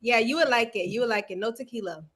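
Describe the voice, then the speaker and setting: A woman's voice: fairly high pitch, quick pace, fairly bright tone. A woman in her early thirties co-hosting a casual group chat, upbeat and playful. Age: 30s. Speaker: co-host.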